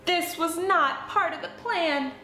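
A woman reading a children's storybook aloud in an expressive, dramatic way for young listeners. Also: extremely high pitch, takes it slowly. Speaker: children's book read-aloud host. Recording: roomy echo with music behind. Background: music.

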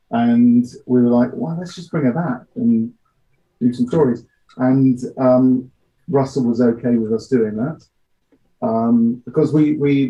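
A middle-aged man giving measured, matter-of-fact interview answers with hesitations over a video call from a small office. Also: medium pitch, mellow. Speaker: audio drama producer being interviewed. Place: small home office, indoors. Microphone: computer mic on video call. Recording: dull, lo-fi video-call audio.

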